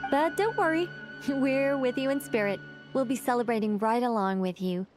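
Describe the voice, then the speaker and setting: A woman's voice: on the high side, close to an everyday voice. A woman voicing a playful, chatty, self-satisfied fairy guide in an anime game, polite and theatrical. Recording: music behind the voice.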